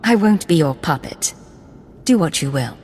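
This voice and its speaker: An actress playing a cool-headed, defiant Imperial agent in a video game, with clipped, dry delivery. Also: female voice, deep voice, expressive, normal speed, a little mellow, slight background noise.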